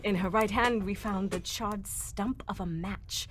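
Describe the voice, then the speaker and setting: A woman's voice: medium pitch, steady tone, a little slow, a little hiss or hum, natural voice. A woman performing an earnest, distressed young Englishwoman telling her tale in a theatrical live radio mystery. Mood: earnest, explaining. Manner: theatrical, melodramatic. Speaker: voice actor reading cold. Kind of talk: live old-time radio mystery acting.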